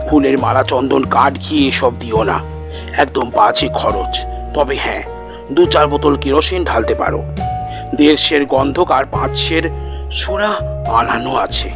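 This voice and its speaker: Man acting a low, weakened, stubborn dying man. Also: natural voice. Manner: blunt, curt last instructions. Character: stubborn dying man, grimly matter-of-fact. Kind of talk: audio story character acting.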